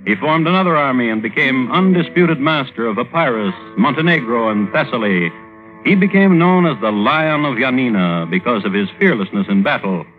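An actor playing a droll crime historian, narrating as host in a wry, deadpan 1950s radio style. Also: male voice, medium pitch, moderate pace, natural voice. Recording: phone-like old radio, music behind.

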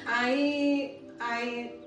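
A woman's voice: a little high, little pitch movement, extremely slow, fairly bright tone, muffled recording. A woman from the Dominican Republic with a Dominican Spanish accent, telling her life story as an interview guest at home. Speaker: interview guest giving testimony. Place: indoors at home. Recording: very echoey room, distant mic, faint noise.

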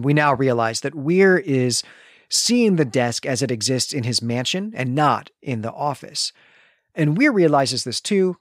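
A man co-hosting a literary podcast, recapping a story in a precise, articulate, semi-scripted way with clear emphasis. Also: medium pitch, dry recording, studio quality. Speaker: podcast co-host.

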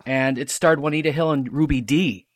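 A man talking casually as a podcast guest, explaining history in a halting, stop-and-start way. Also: a little high, no room sound.

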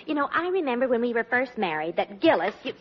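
An actress playing a fed-up, gossipy middle-aged American housewife in a 1940s radio comedy. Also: female voice, on the high side, big pitch swings, fast. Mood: exasperated gossip. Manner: exasperated, gossipy. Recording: dull old radio broadcast.

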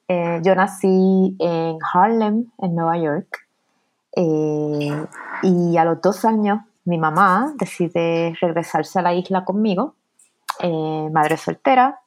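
A woman's voice: on the low side, darkish tone. A middle-aged woman with a Puerto Rican accent, an academic guest answering reflectively in conversational style. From Puerto Rico.

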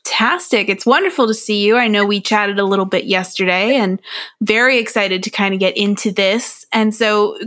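A woman's voice: medium pitch, normal speed, fairly bright tone, no echo. A chatty woman hosts a podcast, her voice a little nasal from a summer cold. She laughs easily between her thoughts. The sound is close and dry, with no room around it. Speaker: podcast host. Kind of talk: casual podcast chat.

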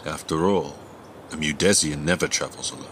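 An actor playing a solemn, loyal royal retainer who speaks formally and gravely in a fantasy audio drama. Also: male voice, a bass voice, a little fast, a bit bright, slight background noise.

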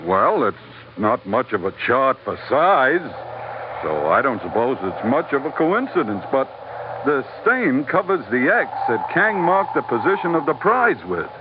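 An actor playing a loyal, plain-spoken first mate on a sailing ship in a radio adventure. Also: male voice, medium pitch, sing-song, unhurried. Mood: careful, concerned. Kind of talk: 1940s radio acting. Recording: muffled, hissy old radio recording.

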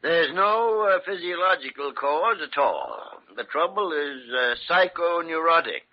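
An actor playing a gruff, irascible older American diagnostician, crusty and dismissive with a halting delivery, in a 1940s radio drama. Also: male voice, a little high, big pitch swings, heavily acted. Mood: irritable, dismissive. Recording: dull vintage radio recording.

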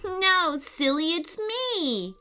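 Woman doing sassy young girl in a kids story, high squealy voice, bouncy pitch. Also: big pitch swings, drawn-out words, sharp tone, soft background music, full character voice. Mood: teasing. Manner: squealing, exclamatory. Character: spirited young girl, sceptical teasing sister.

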